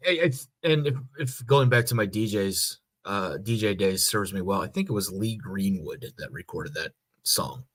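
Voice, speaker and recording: An American man chats as a podcast co-host in a somewhat low voice. He explains things loosely and haltingly, in a casual conversational style. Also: lively intonation, a bit bright. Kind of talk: casual chat.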